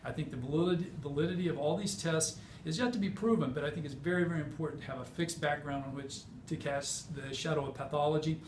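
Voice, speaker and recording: Male physician instructor, halting technical delivery, slightly high voice, clear background noise in a reverberant room. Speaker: physician demonstrating hip exam. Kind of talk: clinical teaching demonstration. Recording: roomy, distant mic, noisy background.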